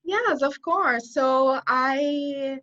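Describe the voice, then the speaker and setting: A woman's voice: sits high, drawn-out words, fairly bright tone, highs cut off. A woman from Brazil whose first language is Portuguese, speaking English with a Brazilian accent as a guest in an interview. She talks earnestly and often restarts her phrases while she thinks. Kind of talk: conversational interview.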